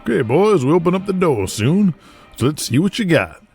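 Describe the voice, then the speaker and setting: A man's voice: a little high, big pitch swings, moderate pace, a little mellow, husky, faint music behind, pitched above the performer's own voice, heavily acted. A man doing a bossy wrestling booker. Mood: coaching. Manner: coaching, impatient. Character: bossy male wrestling booker in cowboy style.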